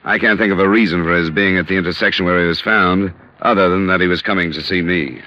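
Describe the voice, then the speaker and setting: An actor playing a sober, dogged American insurance investigator in a 1950s radio drama, with a measured, matter-of-fact delivery. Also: male voice, deep voice, steady tone, close to an everyday voice. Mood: businesslike, insistent. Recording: dull, lo-fi old radio recording.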